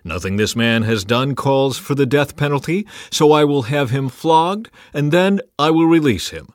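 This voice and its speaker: A man voicing a forceful, exasperated Roman governor addressing a crowd in a dramatized scripture reading, with loud, emphatic questioning. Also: mid-range voice, animated, normal speed, dry recording, clean studio sound, pitched above the performer's own voice, natural voice. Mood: firm, declaring.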